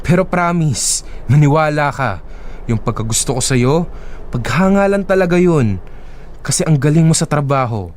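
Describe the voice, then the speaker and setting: A man with a Filipino accent playing a smooth-talking, persistent young ex-boyfriend in an audio drama. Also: fairly high pitch, lively intonation, normal speed, slight background noise, natural voice. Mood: earnest, pleading.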